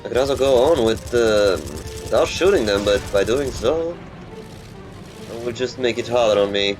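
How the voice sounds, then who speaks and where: A man thinking aloud in a halting, muttering way while playing a video game. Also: mid-range voice, animated, low-quality recording. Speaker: gamer. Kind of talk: gameplay commentary. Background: game music.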